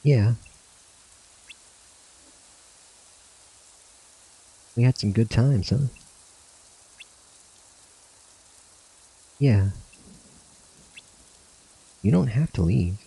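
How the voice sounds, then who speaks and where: A man's voice: mid-range voice, slow pace, creaky, mellow, slightly rough, voice pushed up, exaggerated voice, poor audio. A man reading out game cutscene dialogue, voicing earnest young student warriors in a fantasy story. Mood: wistful, friendly. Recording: music under the voice.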